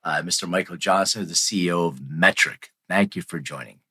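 A man's voice: sits low, normal speed, bright, forceful tone, husky, dry recording. A man hosting a podcast in a casual, rambling conversational interview style. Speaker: podcast host.

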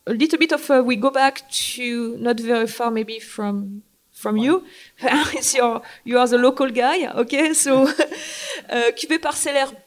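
French woman moderating a wine panel. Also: on the high side. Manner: chatty, laughing, halting with many fillers. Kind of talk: live panel discussion. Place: event hall.

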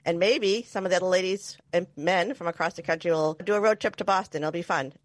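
A woman's voice: on the low side, fast, no room sound. A middle-aged woman from New England hosting a podcast over a video call, chatty and laughing often. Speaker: podcast host. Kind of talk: casual podcast chat and ad read.

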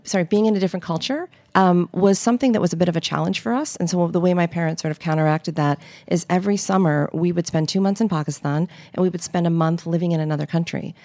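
A middle-aged woman from Northern Michigan, a podcast guest telling relaxed, wry stories about growing up. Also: fairly low pitch, quick pace, hardly any pauses, no echo. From Northern Michigan, United States. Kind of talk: conversational interview storytelling.

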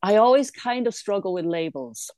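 A woman's voice: medium pitch, expressive, normal speed, dark tone. A woman born in England, now living in Ireland, answering interview questions in a reflective, hesitant way with many restarts and small laughs. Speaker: guest.

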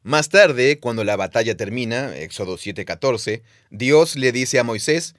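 Man, mid pitch, patient explainer, playful, dry close mic. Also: expressive, a little slow, fairly bright tone, dry recording. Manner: explains step by step, playful quiz asides. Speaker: podcast co-host explaining the Bible. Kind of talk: casual teaching conversation.

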